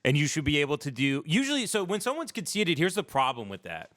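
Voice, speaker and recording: A man's voice: on the high side, wildly swooping pitch, fast, fairly bright tone, no echo, studio quality. A man hosting a livestream, ranting about politics in a blunt, sardonic, casual way with plenty of swearing. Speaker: livestream host. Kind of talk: opinionated casual political rant.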